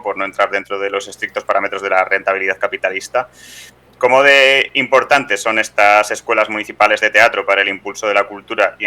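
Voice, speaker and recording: A man's voice: on the low side, pushed and bright, clear, resonant tone. A man in his thirties with an accent from Spain, putting prepared, wordy questions to a guest as interviewer, with some hesitations. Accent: Spanish, from Spain. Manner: wordy, formal phrasing with hesitations. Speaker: radio show host, interviewer.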